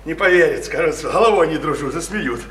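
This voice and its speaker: Actor playing a high-voiced, boisterous, crude provincial man. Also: fast talker, fairly bright tone, close to an everyday voice. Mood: smug, lecturing. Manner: mocking, badgering, boastful, repeats himself, exclaims and chuckles. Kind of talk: theatrical radio play acting. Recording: echoey stage-like radio play, music behind.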